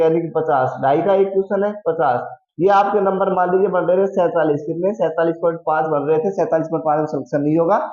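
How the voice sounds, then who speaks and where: A man with a high voice gives an explanatory lecture to students. He repeats key figures for emphasis and poses questions that he answers himself. The room echoes and the sound is a little dull. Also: darkish tone. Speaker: exam coaching teacher and channel host.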